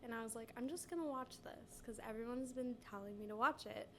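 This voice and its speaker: A woman's voice: a little high, a little fast, bright, forceful tone, a little hiss or hum, rough recording. A young woman co-hosting a podcast, stuffy from a cold, chatting in a giggly, rambling way full of "like". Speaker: podcast co-host. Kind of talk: casual chat.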